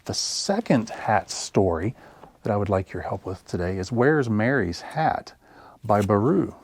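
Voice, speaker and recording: A man hosting a story time for young children, reading aloud with deliberate, simple phrasing. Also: on the low side, expressive, slightly rough. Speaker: library story time host.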